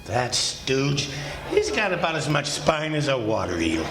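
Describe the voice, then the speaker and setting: A man's voice: mid-range voice, huge pitch swings, unhurried, background noise. A man playing a surly, groggy drinking sailor in a 1940s-style radio drama, grumbling and exaggerated. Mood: gleeful, scornful. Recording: roomy, echoey live stage sound.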